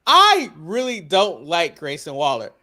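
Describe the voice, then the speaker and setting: A high-voiced American man with a bright, forceful edge hosts a casual wrestling show. He gives blunt opinions, swears freely and throws questions out in a conversational way. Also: huge pitch swings, unhurried. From United States. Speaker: wrestling podcast host. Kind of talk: casual opinionated sports chat.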